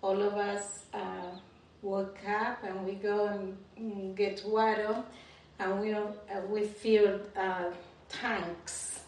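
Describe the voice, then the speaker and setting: A woman's voice: medium pitch, little pitch movement, takes it slowly, a little mellow. A woman from the Dominican Republic with a Dominican Spanish accent, telling her life story as an interview guest at home. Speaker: interview guest giving testimony. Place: indoors at home. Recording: very echoey room, distant mic, faint noise.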